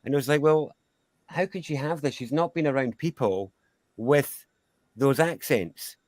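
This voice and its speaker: A man's voice: mid-range voice, a little slow, darkish tone, no room sound. A Scottish man, a guest sound healer, musing in a rambling, casual way with frequent restarts and asides. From Scotland. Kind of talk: casual conversation.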